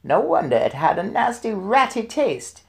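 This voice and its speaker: A man's voice: high-pitched, big pitch swings, moderate pace, creaky, voice pushed up, exaggerated voice. A man voicing a stern, relentless old grandmother, indignant and emphatic, in a storybook reading. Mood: relentless scolding. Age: older.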